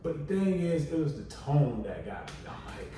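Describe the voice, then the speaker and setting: A soft-spoken man in his thirties with a deep voice, chatting casually on a podcast in a relaxed, drawling way with many fillers. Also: normal speed, faint music behind. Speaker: podcast co-host. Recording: echoey room, distant mic, faint noise.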